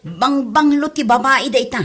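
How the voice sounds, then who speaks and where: Woman as jealous scolding wife, Ilocano accent, high-pitched, piercing, comedy drama. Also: normal speed, a bit bright. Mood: scolding, suspicious. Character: jealous, furious wife scolding husband and neighbours.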